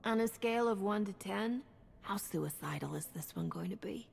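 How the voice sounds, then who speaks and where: A woman's voice: medium pitch, normal speed, vocal fry, darkish tone, slightly rough, big-room sound. A woman playing a tough, wry sci-fi hero in a video game, speaking in a cool, dry way. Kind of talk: video game cutscene acting.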